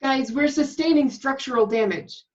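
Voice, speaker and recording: Woman playing fed-up space crew officer, high voice, defensive, lo-fi muffled audio. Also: normal speed, recorded from a distance, natural voice. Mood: alarmed. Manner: defensive, exasperated, emphatic. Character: exasperated female starship communications officer. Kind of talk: screenplay table read acting. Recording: dull lo-fi remote call audio.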